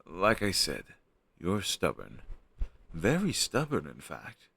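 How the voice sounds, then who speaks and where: A man's voice: low voice, wildly swooping pitch, creaky, a bit bright, slightly rough, no room sound, full character voice. A man playing a flustered young man shyly hiding a crush on his best friend, speaking hesitantly and sheepishly in an intimate audio roleplay. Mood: teasing, reluctant. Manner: hesitant, sheepish, halting conversational delivery. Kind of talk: intimate one-sided conversational audio roleplay.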